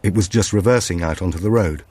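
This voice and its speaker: A male audiobook narrator with a notably deep voice. He reads scripted suspense fiction as a storyteller. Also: fast talker, dark tone, breathy edge, no room sound.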